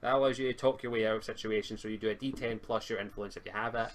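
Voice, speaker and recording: A man's voice: lowish voice, fairly even intonation, racing. A man acting as game master, improvising narration for a tabletop roleplaying game in a hesitant, stammering way.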